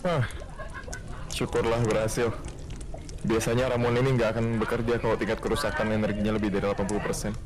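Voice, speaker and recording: A man playing a calm, reassuring dragon god in human guise in a game roleplay. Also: fairly low pitch, fast talker, long pauses, noisy background, lightly acted.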